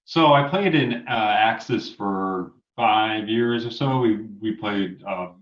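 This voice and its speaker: A man's voice: lowish voice, takes it slowly. A man in his forties from New York, a guest musician chatting casually, encouraging and chuckling, hunting for dates with many fillers. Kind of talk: casual interview chat. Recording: dull, roomy remote audio.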